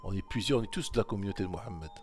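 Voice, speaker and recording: A man's voice: low voice, rapid-fire. A man giving informal commentary on scripture, repeating his points insistently and speaking directly to the listener. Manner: insistent, repetitive exhortation; talks straight to the listener. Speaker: religious commentator.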